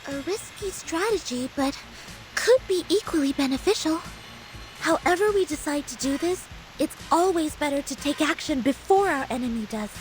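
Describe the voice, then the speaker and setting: A woman's voice: sits high, lively intonation, normal speed, faint music behind, rough recording. An actress playing a thoughtful, diplomatic young woman in a video game. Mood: considered, decisive. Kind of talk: English video game character acting.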